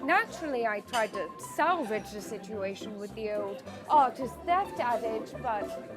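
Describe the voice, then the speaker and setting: High, teasing society belle acted by a woman. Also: lively intonation, unhurried. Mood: amused. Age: young adult. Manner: theatrical, teasing. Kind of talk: scripted radio drama acting. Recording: loud music behind the voice.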